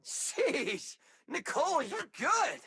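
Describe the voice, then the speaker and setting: A woman voicing an easygoing, eager crew member in an anime-style game, informal and exclamatory, with a rough voice. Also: high voice, big pitch swings, drawn-out words, bright, forceful tone, no room sound, studio quality, heavily acted. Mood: impressed.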